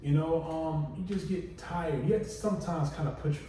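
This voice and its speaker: A soft-spoken man in his thirties with a deep voice, chatting casually on a podcast in a relaxed, drawling way with many fillers. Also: fairly quick. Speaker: podcast co-host. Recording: echoey room, distant mic, faint noise.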